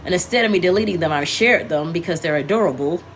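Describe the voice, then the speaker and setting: A woman's voice: fairly low pitch, quick pace. A congested, scratchy-throated woman hosting a casual livestream, rambling and correcting herself as she talks to viewers. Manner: meandering, self-correcting, talking to viewers. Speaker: livestream host.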